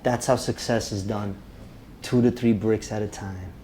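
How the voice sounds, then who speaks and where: A man from Romania, teaching a live public speaking workshop, energetic and often checking in with the group. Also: lowish voice, normal speed, slight background noise, poor audio. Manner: energetic, checks in with "right?" constantly.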